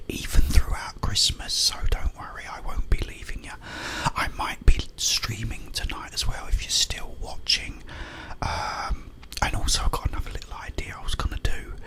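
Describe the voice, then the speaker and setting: A British man whispering an informal, rambling ASMR monologue, playfully repeating words as he goes. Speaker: ASMR content creator.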